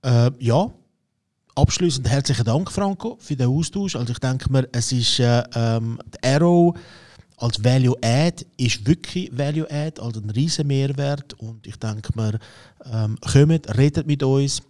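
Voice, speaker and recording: A man's voice: medium pitch, unhurried, warm tone. A man with a Swiss German accent hosting a business conversation, asking questions with frequent filler sounds. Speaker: host.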